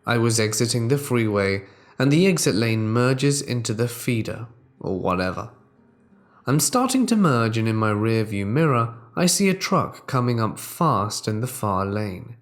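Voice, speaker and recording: A man reading true horror stories aloud as the host, in a measured, deliberate storytelling manner that drops quieter at tense moments. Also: mid-range voice. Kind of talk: scripted narration of reader-submitted true horror stories.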